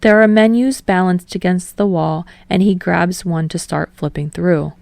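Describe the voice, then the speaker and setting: A woman narrates fiction from a script. Her voice sits on the low side, soft-edged and mellow with a fair amount of fry. The recording is close and dry. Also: moderate pace, dry recording. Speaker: podfic narrator. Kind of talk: scripted narration, audiobook storytelling.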